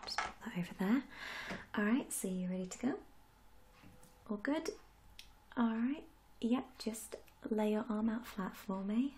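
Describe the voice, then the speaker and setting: A young woman speaks very softly and breathily, often close to a whisper, in an ASMR roleplay. Her delivery is slow and gentle, with a mellow tone and polite reassurance. A light background noise sits behind her. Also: mid-range voice. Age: young adult. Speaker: ASMR roleplayer as a tattoo artist. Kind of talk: soft-spoken ASMR roleplay. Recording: audible background noise and hiss.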